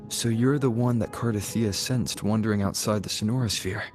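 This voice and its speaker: A man's voice: on the low side, steady tone, fairly quick, darkish tone, close to an everyday voice. A man voicing a wary, level-headed game adventurer, direct and questioning, with music behind him. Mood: guarded. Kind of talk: video game voice acting, scripted dialogue.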